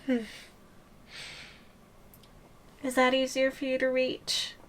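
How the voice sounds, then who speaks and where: A woman's voice: high voice, monotone, normal speed, slight background noise, lightly acted. A woman voicing a playful, possessive giantess girlfriend in an ASMR audio roleplay, with a teasing, giggly, languid delivery.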